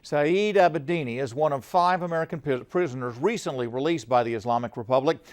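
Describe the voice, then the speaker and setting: A man's voice: on the high side, quick pace. A man anchoring a television newscast, reading scripted stories in a formal news delivery.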